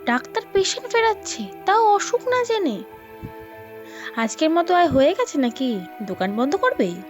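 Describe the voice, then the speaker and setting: Actress as taunting woman patient, high voice, animated swoops, sarcastic, insistent, audio drama, music behind. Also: normal speed. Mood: mocking.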